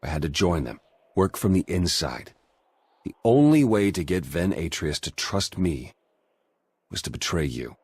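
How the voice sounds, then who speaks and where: An actor playing an earnest undercover spy in a video game, husky-voiced, urgent and pleading. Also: male voice, deep voice, sing-song, measured pace, darkish tone, no room sound, full character voice. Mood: urgent, explaining. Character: earnest male spy working undercover among traitors. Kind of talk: dramatic video game acting.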